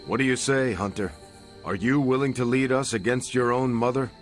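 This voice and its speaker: A man's voice: medium pitch, normal speed, faint music behind, close to an everyday voice. Man playing an earnest, steadfast soldier hero. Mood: earnest, supportive. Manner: sincere, steady. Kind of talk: video game cutscene acting.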